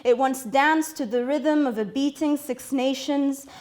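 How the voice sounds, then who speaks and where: High-voiced woman performing spoken word. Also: unhurried, a little mellow. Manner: impassioned, rhythmic, emphatic delivery.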